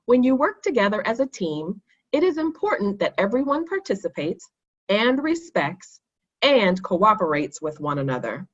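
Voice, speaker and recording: A woman reading a children's story aloud, addressing young listeners in clear, instructive phrasing. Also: medium pitch, sing-song, moderate pace, highs cut off. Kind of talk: expressive read-aloud for children.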